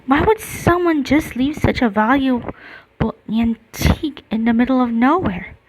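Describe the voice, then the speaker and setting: Slow, higher-pitched woman reading aloud. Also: a little hiss or hum, low-quality recording. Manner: halting reading, self-correcting. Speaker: game streamer. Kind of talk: reading game dialogue aloud during gameplay.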